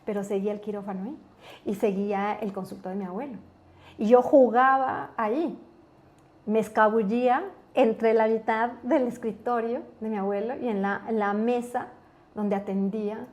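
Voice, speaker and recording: A woman's voice: a little high, normal speed. Earnest Ecuadorian woman telling stories. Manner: earnest, animated, with frequent restarts. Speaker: guest, business executive. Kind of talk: casual interview storytelling.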